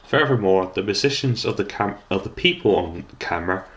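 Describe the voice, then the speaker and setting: A man's voice: lowish voice, animated, brisk, fairly bright tone. A young man reading a scripted, reflective commentary in formal wording, stumbling now and then as he reads. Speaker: student documentary maker.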